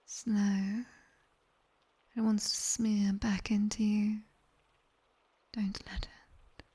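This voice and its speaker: A British woman playing a sharp-tongued nightmare demoness tutor in an ASMR roleplay, mostly whispering slow, commanding, dryly teasing instructions. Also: medium pitch, level tone, breathy edge, exaggerated voice. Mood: calm, commanding. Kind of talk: ASMR roleplay, guided body scan.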